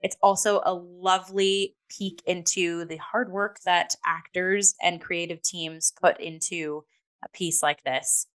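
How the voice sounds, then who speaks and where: A woman co-hosting a casual review talk show, speaking in an animated, conversational way peppered with filler words. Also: on the low side, slow, smooth.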